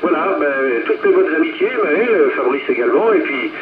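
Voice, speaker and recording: A man's voice: a little high, lively intonation, normal speed. A man chatting casually as a radio operator over a two-way radio transmission. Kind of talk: casual radio contact chat. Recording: muffled, very noisy radio transmission.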